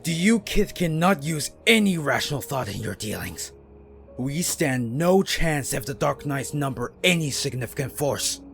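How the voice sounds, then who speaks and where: A man's voice: fairly high pitch, lively intonation, normal speed, gravelly, fairly bright tone, soft background music, pitched above the performer's own voice. A man voicing a proud, resentful male ninja master who growls his scorn. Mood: scornful.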